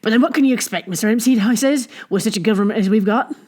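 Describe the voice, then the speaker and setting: A woman's voice: on the high side, fast talker, darkish tone, close to an everyday voice. A woman voicing a good-humoured male innkeeper with a rural English dialect, talking in a hearty, emphatic way.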